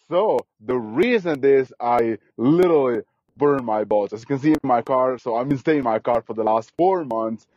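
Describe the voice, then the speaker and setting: Earnest halting man from Guatemala. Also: medium pitch, a little mellow, no echo. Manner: earnest, repetitive, halting phrasing. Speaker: guest. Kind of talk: casual interview storytelling. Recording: dry, dull lo-fi sound.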